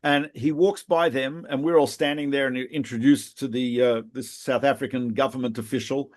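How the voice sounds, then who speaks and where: A middle-aged man from Australia swaps stories with a friend on a podcast, with a voice of ordinary pitch. He tells his anecdotes with animation and laughs at them. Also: fairly even intonation, moderate pace. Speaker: podcast co-host, rabbi. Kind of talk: casual reminiscing conversation.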